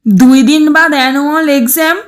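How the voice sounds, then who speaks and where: A woman playing an exasperated mother in a cartoon, doting yet scolding, with expressive, nagging and coaxing delivery. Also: sits high, a little fast, natural voice. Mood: threatening, scolding. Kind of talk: cartoon voice acting.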